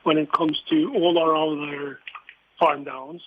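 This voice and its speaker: A man answering as a company executive on an earnings call, in measured, broken phrases. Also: fairly high pitch, flat delivery. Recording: phone-like line with faint noise.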